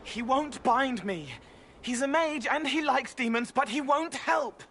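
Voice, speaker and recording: An actor playing an anxious, innocent young spirit in a video game, speaking in halting, dreamy fragments. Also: male voice, very high-pitched, sharp tone, a little hiss or hum, exaggerated voice. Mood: anxious, pleading.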